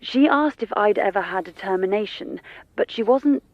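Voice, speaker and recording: A woman acting a reluctant, candid friend in a radio drama, heard on a dry, slightly muffled phone call. Her medium-pitched voice is hesitant and confiding. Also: animated, normal speed, a little mellow, no echo, natural voice. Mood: confiding, thoughtful. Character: guarded young actress, reluctant but candid friend. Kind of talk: naturalistic radio drama dialogue.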